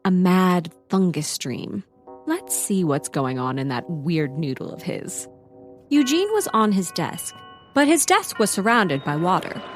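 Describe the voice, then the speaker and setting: A woman's voice: mid-range voice, natural voice. A woman narrating a scripted comedy audio drama in a wry, playful storytelling manner. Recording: music behind the voice.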